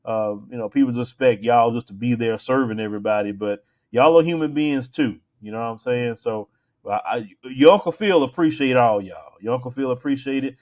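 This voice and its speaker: A man's voice: mid-range voice, brisk, darkish tone. An American man hosting a show, giving casual opinion commentary in an emphatic, colloquial, conversational way.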